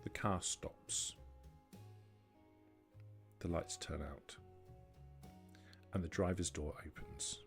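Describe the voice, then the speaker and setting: A man working as a game master, narrating a tabletop game scene in measured, atmospheric short phrases. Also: sits low, fairly even intonation, vocal fry. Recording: background music under voice.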